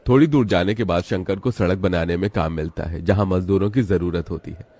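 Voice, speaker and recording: A man narrates a cartoon story in a steady, dramatic manner. His voice sits very low. Each line is delivered like scripted storytelling. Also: fairly quick.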